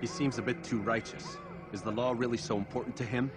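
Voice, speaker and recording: A man's voice: medium pitch, steady tone, fast, over loud music, lightly acted. A man playing a proud, curt assassin in a video game, terse and self-assured. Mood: probing. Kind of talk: video game dramatic acting.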